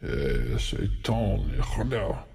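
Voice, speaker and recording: An imperious great wizard acted by a man for an ASMR film. His voice is low and heavily creaky, and he draws out his commands very slowly with theatrical pomp. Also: sing-song, a little mellow, soft background music, heavily acted. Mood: flustered, commanding. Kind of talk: French ASMR roleplay film.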